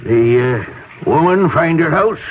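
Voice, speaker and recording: An actor playing a genial but probing beat policeman, halting and folksy, in a 1970s radio mystery. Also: a little high, lively intonation. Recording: tinny, noisy old radio broadcast.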